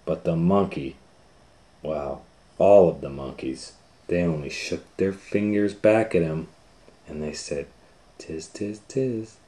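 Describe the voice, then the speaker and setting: Male storytime host, low register, unhurried pace, chatty read-aloud for young children. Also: mellow. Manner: conversational asides and questions to listeners.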